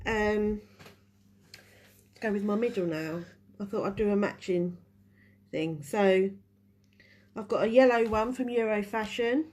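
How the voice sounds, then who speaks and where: A woman's voice: medium pitch, measured pace, long pauses, darkish tone. A woman with a head cold and a stuffy voice, chatting through a live nail-art tutorial while she works. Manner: chatty, restarts while working. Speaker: nail technician teaching a live class.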